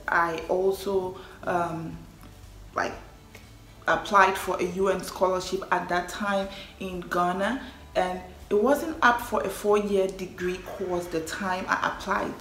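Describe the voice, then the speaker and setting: A woman's voice: mid-range voice, fairly bright tone. A young woman from Liberia, chatting casually to her vlog audience about herself, often affirming with "yes". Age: young adult. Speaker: vlogger. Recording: echoey room with faint ambient noise.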